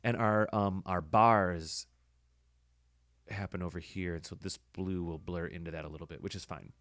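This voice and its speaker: A man with a hoarse voice, casually talking through his editing work as a podcast host, thinking aloud with fillers. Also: low-pitched, fairly even intonation, normal speed, a bit bright, no room sound. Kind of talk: casual screen-recording commentary.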